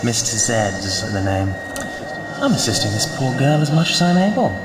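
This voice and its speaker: A man's voice: mid-range voice, sing-song, normal speed, reverberant, in a large hall, background noise, lightly acted. An actor playing an eccentric, teasing, mysterious male ally in an audio drama, with a theatrical, playful delivery. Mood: teasing, knowing.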